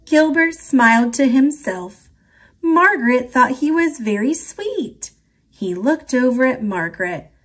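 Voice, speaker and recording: A woman reads a children's picture book aloud, gentle and expressive. Her voice sits a little high and swings widely in pitch. She takes her time over every line. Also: unhurried. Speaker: storybook reader.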